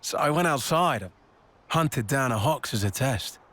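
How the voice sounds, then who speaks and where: Man as easygoing soldier, mid-pitched voice. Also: lively intonation, moderate pace, a bit bright, slight background noise, natural voice. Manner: casual, colloquial. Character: easygoing, grateful male colony officer and soldier.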